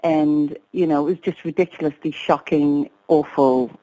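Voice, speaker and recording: A woman's voice: deep voice, fairly even intonation, poor audio. A middle-aged woman speaks as a guest on an interview show. She talks in a reflective, hesitant way, stopping often to search for words. Her tone stays open. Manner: soft, hesitant, reflective, with pauses and fillers.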